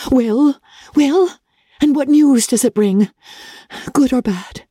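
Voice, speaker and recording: A woman voicing an eager, impatient young gentlewoman in a classic novel, urgent and pleading as she questions. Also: high voice, takes it slowly, husky, no room sound, clean studio sound, pitched above the performer's own voice, heavily acted.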